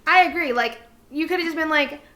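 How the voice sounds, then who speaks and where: A woman's voice: high voice, a little fast, bright, forceful tone. A young adult woman co-hosting a casual chat podcast, reading aloud and breaking off into irreverent, giggly joking asides. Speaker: podcast co-host.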